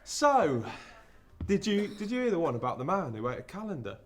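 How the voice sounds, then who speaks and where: A man's voice: high voice, very animated. An actor playing a lost, regretful young man who hides behind showmanship in a theatrical stand-up style monologue. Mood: forced showman cheer. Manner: confessional, halting, with showy bursts.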